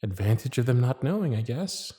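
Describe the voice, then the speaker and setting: Smitten magician's inner voice, acted by a man: hushed, fond, confiding, intimate ASMR roleplay monologue. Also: mid-range voice, sing-song, brisk, voice pushed down, close to an everyday voice. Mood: smitten, musing. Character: smitten magician's private thoughts, shy and fond.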